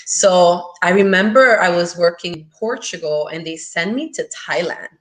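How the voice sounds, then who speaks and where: Woman guest in her thirties from the Dominican Republic, earbud mic on a video call, slightly low voice, eager and laughing. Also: moderate pace, a bit bright, rough recording. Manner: enthusiastic, laughs often, fills with "like". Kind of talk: casual interview chat. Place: home room, on a video call. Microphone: wired earbuds with mic.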